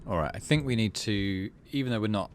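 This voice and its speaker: A man's voice: fairly low pitch, a little fast, a little hiss or hum. A man hosting a gaming video, reading the game's text aloud and musing casually to himself as he plays. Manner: thinks aloud, murmurs to himself. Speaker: gaming channel host. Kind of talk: reading game narration aloud, casual musing while playing.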